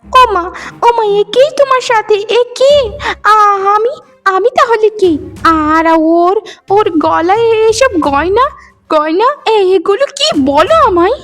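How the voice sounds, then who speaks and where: An extremely high female cartoon voice acted by a woman plays a young married daughter. She is vain and petulant, wheedling in an affected, melodramatic way. Music runs behind her. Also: expressive, slow, pauses often, a bit bright, heavily acted. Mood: shocked. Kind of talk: Bengali cartoon dubbing, melodrama. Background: background music.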